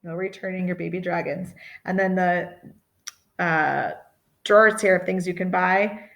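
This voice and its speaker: A woman's voice: lowish voice, gravelly. A middle-aged woman reading a children's picture book expressively, with dramatic emphasis and chatty asides. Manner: animated, deliberate, dramatic emphasis. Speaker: storytime reader.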